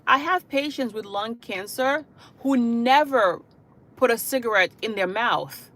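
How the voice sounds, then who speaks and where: Woman from Haiti, hospice caregiver, earnest live-stream talk, fairly high voice, keeps asking "okay?". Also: lively intonation, measured pace, fairly bright tone. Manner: earnest, repetitive, many "okay?" and "you know". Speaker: hospice caregiver and channel host. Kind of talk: livestream talk to viewers.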